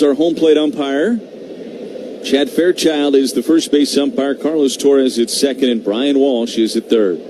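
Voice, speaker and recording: A man's voice: mid-range voice, noisy background. A man calling baseball play-by-play live on the radio. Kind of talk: live radio sports commentary.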